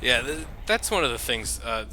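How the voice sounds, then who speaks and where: A man's voice: mid-range voice, sing-song, normal speed, pushed and bright. A man hosting a video game playthrough with casual, rambling, conversational commentary. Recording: clearly noisy background.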